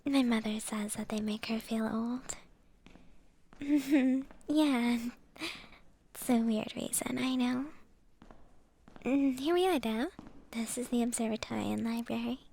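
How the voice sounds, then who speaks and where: Young female vampire with a crush, acted by a woman: higher-pitched, unhurried, whispery ASMR roleplay, soft, shy and playful. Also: heavily acted. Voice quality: breathy, whispery.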